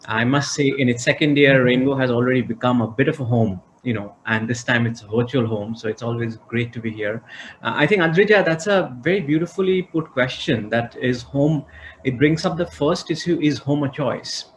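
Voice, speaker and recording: A man's voice: medium pitch, poor audio. Courteous man with faint noise behind. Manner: courteous, measured, articulate. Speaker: panelist. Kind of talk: panel talk on video call. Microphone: video call.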